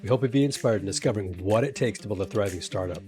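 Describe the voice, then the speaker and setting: A man's voice: on the low side, very fast, a little mellow, soft background music. A man who grew up in California, hosting a podcast interview with a read-out intro and loosely phrased friendly questions. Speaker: podcast host.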